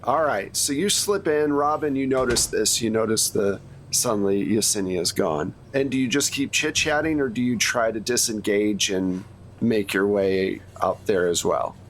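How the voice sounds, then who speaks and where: Male game master over faint rumbling noise. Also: normal speed. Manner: conversational, improvising scenes and prompting players. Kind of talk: casual tabletop game narration.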